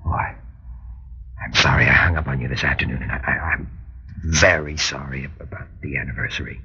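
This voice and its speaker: An actor playing a nervous, guilt-ridden husband in an old radio drama, with a husky voice and a halting, stammering delivery. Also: male voice, rumbling low, fairly even intonation, bright, forceful tone, heavily acted. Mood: evasive, uneasy. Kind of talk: mid-century radio drama acting. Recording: dull, lo-fi old radio recording.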